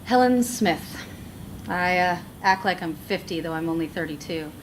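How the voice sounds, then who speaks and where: A woman's voice: on the low side, animated, moderate pace, noisy background, lightly acted. An actress in her thirties playing a sardonic, wry woman listing the men who wronged her. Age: 30s. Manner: sarcastic, wry. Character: sardonic 32-year-old woman, burned by men.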